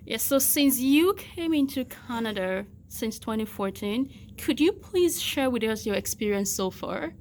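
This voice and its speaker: A woman's voice: a little high, lively intonation, measured pace, hardly any pauses, a little mellow, a little hiss or hum. A woman hosting a video interview, welcoming her guest with polite, formal phrasing. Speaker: host.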